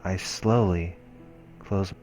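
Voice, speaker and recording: A man reading a visual novel aloud as a solemn, hushed narration. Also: low voice, fairly even intonation, slow pace. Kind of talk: scripted narration read aloud. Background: game music.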